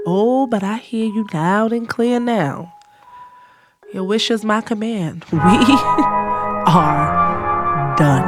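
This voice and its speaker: A woman playing a hurt, scorned lover in an audio drama, telling it in first person with emphatic, sarcastic delivery. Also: medium pitch. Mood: defiant. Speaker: narrator and lead character in an audio drama.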